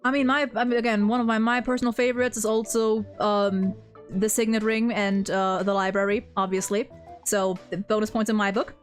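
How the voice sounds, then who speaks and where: A woman's voice: mid-range voice, little pitch movement, a little fast, soft background music. A woman hosting a casual, unscripted game discussion, speaking in an emphatic, opinionated, rambling way. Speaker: host of a video game story ranking discussion.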